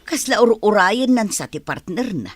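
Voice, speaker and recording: A woman playing a husky, scornful woman. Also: mid-range voice, very animated, moderate pace, dry recording.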